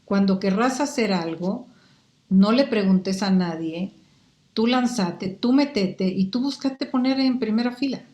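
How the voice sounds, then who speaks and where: A woman's voice: medium pitch, unhurried, roomy sound. Older Guatemalan woman, Mexican accent. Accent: Mexican Spanish. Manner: animated storyteller, picks up accents. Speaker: guest. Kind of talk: casual interview chat.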